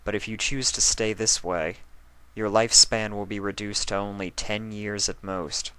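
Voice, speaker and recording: A man voicing a stern but caring pony princess of the night who talks softly and gravely. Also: on the low side, fairly even intonation, normal speed, dry recording, close to an everyday voice, low-quality recording.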